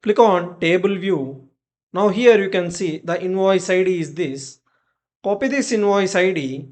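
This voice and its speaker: A tutorial presenter narrating step-by-step software instructions in short, clipped commands. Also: medium pitch, a little slow.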